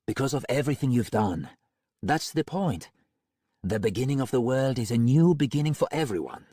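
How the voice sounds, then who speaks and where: A man reading an audiobook, voicing a calm young man who speaks serenely and gently. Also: mid-range voice, moderate pace, dry recording, studio quality, pitched above the performer's own voice, natural voice.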